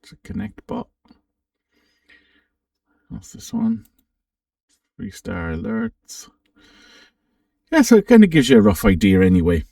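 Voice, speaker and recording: A man giving a casual, unscripted software walkthrough, thinking aloud with some hesitation as he presents. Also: on the low side, wildly swooping pitch, a little slow, gravelly, long pauses, clean studio sound. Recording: roomy, slightly distant microphone.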